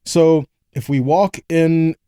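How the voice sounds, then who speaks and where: Mellow American man preaching, fairly high voice. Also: fairly even intonation, slow, smooth, no echo, studio quality. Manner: rhetorical questions and frequent check-ins with listeners. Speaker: preacher teaching a scripture lesson. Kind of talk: conversational sermon with scripture readings.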